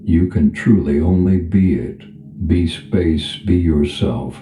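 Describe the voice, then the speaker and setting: A man leading a guided meditation as a calm spiritual teacher, speaking in a gentle, unhurried, instructional manner. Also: low voice. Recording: echoey reverb over background music. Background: music.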